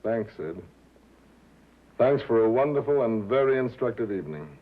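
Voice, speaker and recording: An actor playing a wry, disillusioned American radio reporter in a 1950s film drama, speaking in a terse, dry way. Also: male voice, medium pitch, expressive, moderate pace, phone-quality sound, close to an everyday voice. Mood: bitter. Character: wry, disillusioned male radio reporter, tough and self-possessed. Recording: thin, boxy old film soundtrack.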